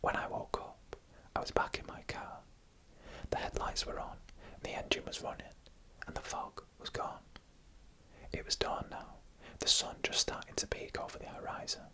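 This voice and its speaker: A narrator telling eerie stories in a breathy, unvoiced whisper, in deliberate short phrases for ASMR. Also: bright, forceful tone. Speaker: ASMR storyteller.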